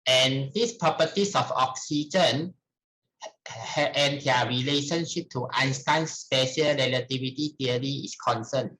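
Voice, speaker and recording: A middle-aged man with a Malaysian accent gives a formal academic presentation in a halting, deliberate manner. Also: medium pitch, pushed and bright, a little hiss or hum, rough recording. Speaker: researcher presenting at a conference.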